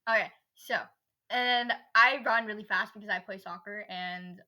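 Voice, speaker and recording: Bright-voiced young teenage girl telling stories. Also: mid-range voice, normal speed. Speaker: podcast co-host. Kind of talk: casual chatty storytelling.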